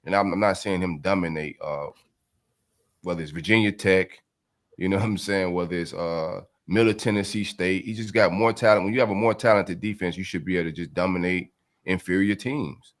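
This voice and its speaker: A man's voice: sits low, brisk. A man co-hosting a casual sports talk show, pushing his co-host with blunt, slangy questions.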